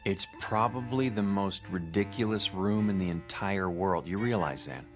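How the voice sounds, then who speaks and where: An actor playing a grouchy, sardonic husband with an American accent, dry and curt in a radio drama. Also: male voice, fairly low pitch, moderate pace, music in the background, lightly acted. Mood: grumbling.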